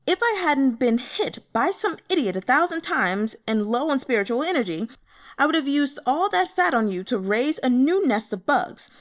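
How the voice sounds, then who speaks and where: A woman's voice: high voice, sing-song, moderate pace, voice pushed up. A woman voicing an aggrieved, insulting male sect elder in a fantasy audiobook. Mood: indignant. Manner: indignant, insulting.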